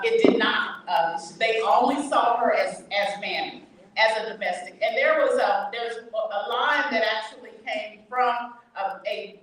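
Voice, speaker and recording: A woman author telling stories at a bookshop event, animated and laughing as she goes. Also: fairly high pitch, slight background noise. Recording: echoey room from far off.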